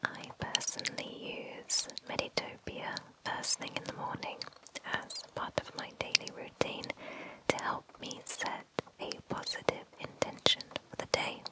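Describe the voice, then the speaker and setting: A woman host reading a scripted sponsor message in a breathy, soothing ASMR whisper. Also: a little slow, rough recording.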